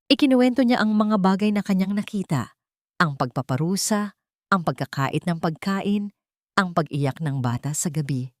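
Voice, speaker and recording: A woman narrating a scripted dramatic story with deliberate, melodramatic phrasing. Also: on the low side, lively intonation, dry recording, studio quality.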